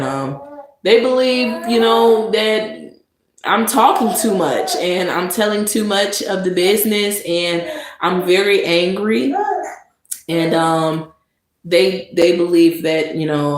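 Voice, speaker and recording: A woman vlogger delivering a sarcastic monologue of mock praise, with drawn-out emphasis. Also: lowish voice. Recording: echoey room with noise.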